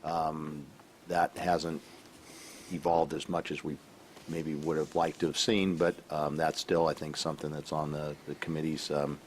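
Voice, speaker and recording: Very deep, flat-voiced man. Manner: halting, many fillers and restarts. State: losing his voice. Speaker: city staff member. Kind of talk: council meeting report. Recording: faint background noise from a live stream.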